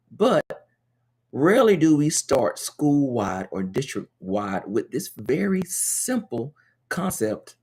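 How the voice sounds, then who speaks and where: A man with a raspy, sinus-hoarse voice hosts an education webcast, talking straight to viewers and stretching out key words for emphasis. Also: medium pitch, darkish tone. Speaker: education webcast host and consultant. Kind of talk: casual webcast talk.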